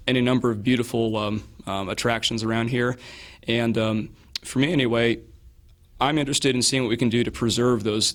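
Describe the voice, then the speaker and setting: A hoarse man answering interview questions as a local candidate, measured and hedging, with frequent fillers and restarts. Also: medium pitch, a bit bright. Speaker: political candidate.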